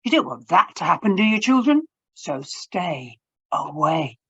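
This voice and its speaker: A woman's voice: lowish voice, very animated, unhurried, a bit bright, slightly rough, muffled recording, exaggerated voice. A woman voicing the stern, disapproving grown-ups of a town in a read-aloud storybook, scolding and blustering.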